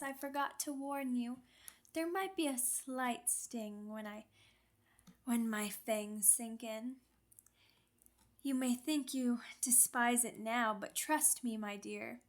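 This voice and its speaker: A woman voicing a seductive, sadistic female vampire in an intimate ASMR roleplay, commanding and possessive, with condescending mock tenderness. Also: on the high side. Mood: gloating, sensual. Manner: slow, deliberate, condescending pet names, teasing menace.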